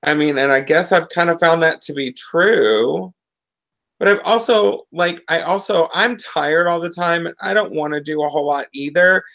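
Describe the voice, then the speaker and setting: A woman's voice: fairly low pitch, moderate pace, fairly bright tone. Woman in her forties on a video call. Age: 40s. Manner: rambling, self-interrupting. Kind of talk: casual chat. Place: living room at home. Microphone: video call webcam.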